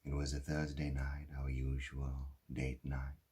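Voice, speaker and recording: A very low-voiced husband weighed down by guilt, played by a man in a dramatic stage monologue. He confesses slowly and haltingly, leaving heavy pauses between phrases. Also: completely flat delivery, warm tone, breathy edge, full character voice. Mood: regretful, subdued. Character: guilt-ridden husband who caused a fatal drunk-driving crash.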